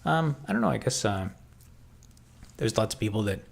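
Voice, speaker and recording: A man with a Canadian accent talks on a livestream in a lowish, gravelly voice with a husky edge. He thinks out loud and often stops and restarts his sentences as he answers viewers. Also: very animated. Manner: halting, thinking aloud, frequent restarts. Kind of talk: casual livestream chat, answering viewer comments.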